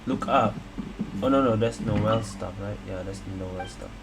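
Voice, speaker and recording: A man's voice: fairly low pitch, unhurried, vocal fry, low-quality recording. A man giving casual game playthrough commentary as a streamer, reacting and thinking aloud. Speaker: gaming streamer. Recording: loud game music behind.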